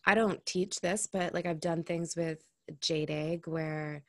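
A woman's voice: deep voice, steady tone, slow, fairly bright tone, dry recording, clean studio sound. A woman speaking as an intimacy coach guest in a casual podcast conversation, candid and reflective, swearing now and then.

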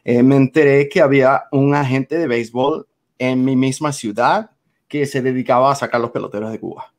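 An American man in his forties, a guest on an interview show, who speaks Spanish with a clear Cuban accent. He tells his stories in a lively, animated way and laughs between phrases. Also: mid-range voice, moderate pace. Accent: Cuban-influenced Spanish. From United States. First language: English. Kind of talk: casual interview storytelling.

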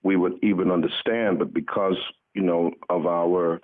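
Man in his sixties, from the Bahamas, low voice, earnest guest pastor, halting conversational delivery, thin phone audio. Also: fairly even intonation. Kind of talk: conversational radio interview. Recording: thin, muffled phone line.

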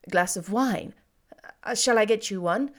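Woman voicing an earnest, gentle young gentleman. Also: medium pitch, normal speed, lightly acted. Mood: gentle concern. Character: grave gentlemanly young man, concerned. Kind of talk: audiobook character reading.

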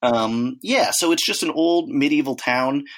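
A man's voice: mid-range voice, unhurried, fairly bright tone. A man co-hosting a casual chat, telling rambling travel stories with lots of fillers and acted-out quotes. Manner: rambling storyteller, acts out quotes, many fillers.

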